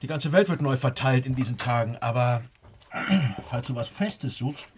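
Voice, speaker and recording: An actor playing an easygoing commune leader in a radio play, speaking with measured, rhetorical and slightly patronising kindness. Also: male voice, medium pitch, expressive, slight background noise, lightly acted. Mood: kindly, pronouncing. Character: easygoing, avuncular male leader of a squatter commune. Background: clattering dishes at a table.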